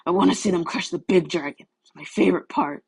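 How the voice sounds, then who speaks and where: A woman voicing a gruff, hot-tempered teenage boy who grumbles, in a storybook reading. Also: on the low side, fast, a little mellow, dry recording. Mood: grumbly.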